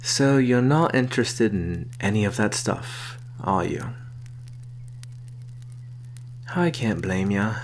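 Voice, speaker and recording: A man playing a sly vampire posing as a traveling merchant, soft-spoken and coaxing in an ASMR roleplay. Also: fairly low pitch, animated, a little hiss or hum. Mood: coy, reluctant. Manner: soft-spoken, coaxing, deliberate with sighs and soft chuckles.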